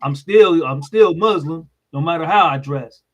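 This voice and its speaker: A man's voice: fairly high pitch, moderate pace, fairly bright tone, slight background noise. An American man speaking as a guest in an interview, explaining things in a plain-spoken, informal way. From United States.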